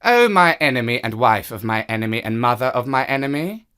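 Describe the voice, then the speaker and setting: A storyteller voicing a sly, proud, aloof cat in a children's tale, with a drawn-out, self-satisfied delivery. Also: medium pitch, expressive, fairly bright tone, smooth, close to an everyday voice.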